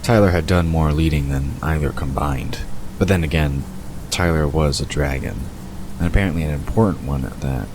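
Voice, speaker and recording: A man's voice: a bass voice, normal speed. A man reading scripted story narration as the narrator of an audio drama. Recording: constant ambience noise behind narration.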